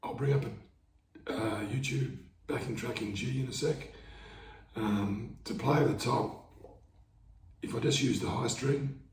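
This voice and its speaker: A man with a hoarse, fading voice, casually explaining how he plays guitar over backing tracks in a laid-back, rambling way. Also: medium pitch, a little slow, distant mic, slight background noise. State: hoarse, losing his voice. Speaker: market busker teaching guitar. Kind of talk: casual instructional talk. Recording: roomy sound, away from the mic, guitar playing behind.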